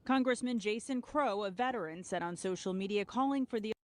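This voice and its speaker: A news anchor reading a scripted television report in an even, measured way. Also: medium pitch, no room sound, clean studio sound.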